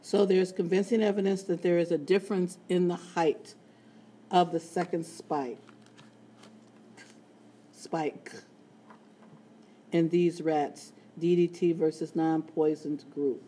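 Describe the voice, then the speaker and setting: A woman teaching a statistics lesson in a screencast, with a raspy voice and playful, chatty asides. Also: on the low side, mellow, a little hiss or hum. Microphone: tablet screen recording.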